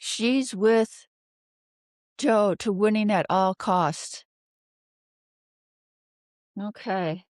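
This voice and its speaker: Woman doing a tarot reading off the cuff, real slow n halting, clear smooth voice, asks herself questions out loud. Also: mid-range voice, dry recording, clean studio sound. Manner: halting, pondering aloud with rhetorical questions. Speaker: tarot card reader, host. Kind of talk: unscripted tarot reading commentary.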